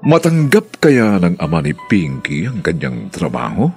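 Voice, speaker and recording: A man with a Filipino accent narrating a radio serial as its announcer in a theatrical, measured style. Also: deep voice, wildly swooping pitch, vocal fry, darkish tone, soft background music. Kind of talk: dramatic radio serial narration, reading credits.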